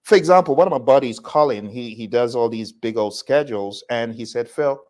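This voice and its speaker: A man's voice: medium pitch, fairly quick, darkish tone. A man teaching a live online class, talking in an emphatic, conversational way and addressing listeners directly. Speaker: instructor hosting a live class. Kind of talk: live online lecture with audience questions.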